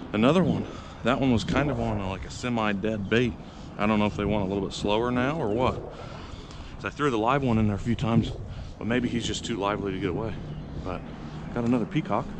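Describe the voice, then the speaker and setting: A man with a fairly low voice narrates his fishing outdoors to a camera, casual and chatty. He bursts into loud, excited cheers whenever a fish bites. The outdoor recording is windy, with clear background noise. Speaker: fishing vlogger. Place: outdoors by urban water, windy.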